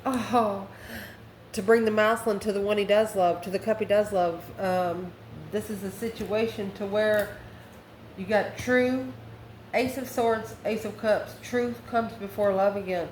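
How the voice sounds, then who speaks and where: A woman's voice: mid-range voice, fairly even intonation, measured pace, darkish tone. Woman reading tarot in an echoey room. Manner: exclamatory, conversational, addresses viewer directly. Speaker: tarot card reader. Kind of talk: casual, spontaneous tarot reading narration. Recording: echoey room with faint background noise.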